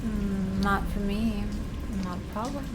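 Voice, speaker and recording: A woman's voice: medium pitch, unhurried, over loud music. A woman playing a game and commenting casually, playful and full of exclamations. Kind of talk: excited gameplay commentary, casual chat.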